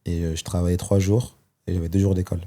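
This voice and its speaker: Guest, man, 30s: low, warm, dark voice; hesitant, thinking aloud. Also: flat delivery, fast talker. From Belgium. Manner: reflective, hesitant with many fillers. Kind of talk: personal testimony in conversation.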